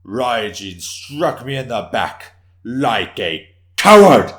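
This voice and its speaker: A man's voice: medium pitch, very animated, slow, a bit bright, voice pushed up. A man performs a proud, defiant samurai warrior in a storybook tale. He declaims in grand, old-fashioned language, theatrical and bombastic, as if addressing a whole hall. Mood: shouting, outraged. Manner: declaiming, bombastic, archaic formal speech.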